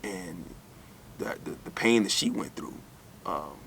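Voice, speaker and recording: A low-voiced man from the United States shares personal memories as an interview guest. His delivery is candid and conversational, and he often repeats phrases as he goes. There is faint noise behind him. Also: measured pace, creaky, fairly bright tone. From United States, born in New York, raised in Alabama.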